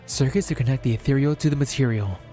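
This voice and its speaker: A male streamer reads fantasy fiction aloud in a solemn, measured way. His pitch sits in the middle for a man, and he delivers short phrases as dramatic narration. Faint music plays behind him. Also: mellow. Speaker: streamer reading a visual novel aloud. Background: background music.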